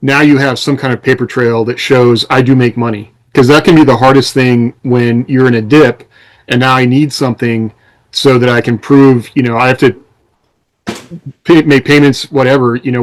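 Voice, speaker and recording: A man in relaxed podcast conversation, co-hosting and explaining things at some length. His pitch sits in an ordinary middle range, and his delivery rambles from point to point. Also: poor audio. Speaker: podcast co-host and self-employed writer. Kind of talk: casual podcast chat.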